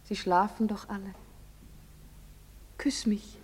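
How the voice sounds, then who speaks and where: A woman's voice: mid-range voice, steady tone, slow, darkish tone, breathy edge, long reverb tail. An actress playing a devoted, pleading young woman in love in a stage drama, intimate and insistent. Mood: sleepy, intimate. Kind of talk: stage-drama acting in German.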